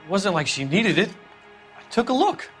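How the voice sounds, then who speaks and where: Casting: odd, creepy American suspect, higher voice, lively swings, prissy and defiant, game drama with music behind. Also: male voice, normal speed. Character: creepy, defiant, odd man, a rail yard worker suspect. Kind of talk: video game dramatic acting.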